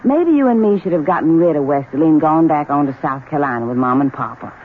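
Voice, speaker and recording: A coquettish Southern belle. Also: female voice, low-pitched, expressive, fast talker. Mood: coaxing. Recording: narrow-band old radio broadcast with faint hiss.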